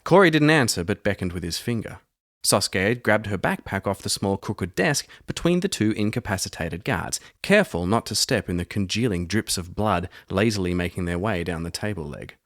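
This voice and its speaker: A man's voice: fairly low pitch, lively intonation, normal speed, a bit bright. A man hosting a solo role-playing audio drama, moving between scripted story narration and casual play-by-play of the game. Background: music.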